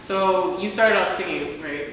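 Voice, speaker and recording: A woman's voice: on the low side, unhurried, fairly bright tone. Playful interviewer woman, teasing questions. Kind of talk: casual interview. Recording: echoey room, far from mic, clear noise.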